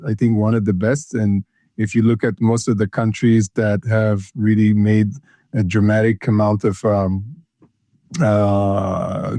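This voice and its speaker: A man's voice: on the low side, mellow, no room sound. A man from Ethiopia, a consulting firm founder, talking in an interview, hesitant and full of fillers and repeated words. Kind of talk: conversational interview.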